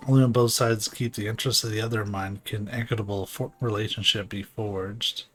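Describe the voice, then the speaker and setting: A man voicing a courteous, dignified ruler in a video game, with formal, archaic, measured diction. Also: fairly low pitch, steady tone, fairly bright tone, faint music behind, natural voice. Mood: formal, earnest.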